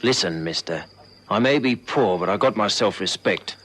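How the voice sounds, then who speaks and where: A man's voice: fairly low pitch, animated, moderate pace, a bit bright. A South African man playing a suspicious, self-respecting neighbour in a radio drama, blunt and grumbling. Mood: grudging, suspicious. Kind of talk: old radio drama acting.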